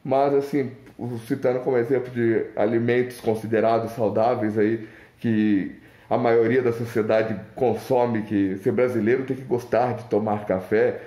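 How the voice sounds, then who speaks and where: A young man from Minas Gerais with a mineiro accent talks through his thoughts in a loose, hesitant vlog monologue. His voice is mellow and soft-edged, and he circles back with fillers and restarts. The room around him rings noticeably. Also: mid-range voice, fairly even intonation, normal speed, reverberant. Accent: Mineiro Brazilian Portuguese. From Minas Gerais, Brazil. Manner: hesitant, thinking aloud with many restarts and fillers. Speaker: vlogger. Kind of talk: rambling casual monologue.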